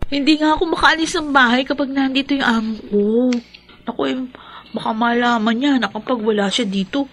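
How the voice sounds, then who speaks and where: A woman's voice: high voice, brisk, lightly acted. A woman playing a sly, fretful young housemaid in a radio drama, with a whiny way of talking.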